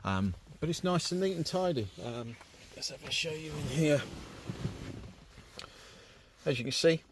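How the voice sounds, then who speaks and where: A man's voice: a little high, lively intonation, unhurried, background noise. A British man giving a casual, chatty, easygoing walk-through as a vehicle owner, talking informally to a handheld camera. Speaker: vehicle owner presenting an installation. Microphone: handheld camera or phone.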